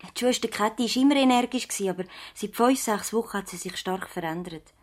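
An actress playing an earnest, thoughtful young practice assistant and loyal niece in a radio play, with a Swiss German accent. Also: female voice, medium pitch, quick pace, natural voice. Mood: worried, hushed. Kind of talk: radio play acting, conversational dialogue.

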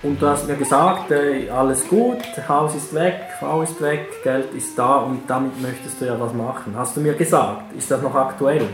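A man acting as adviser in an informal, halting consultation. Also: mid-range voice. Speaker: financial adviser. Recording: roomy echo under guitar music.

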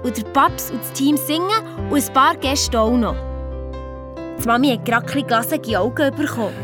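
A woman's voice: high-pitched, fairly quick, music in the background, natural voice. A woman playing an eager, chatty girl detective with a Swiss German accent, telling her story colloquially in a children's audio drama. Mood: proud, eager. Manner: lively, colloquial retelling, quoting others.

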